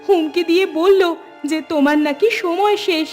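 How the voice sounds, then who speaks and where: A woman's voice: very high-pitched, moderate pace, darkish tone, faint music behind. A woman voicing an anxious, imploring village wife in a melodramatic cartoon. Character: worried, pleading village wife.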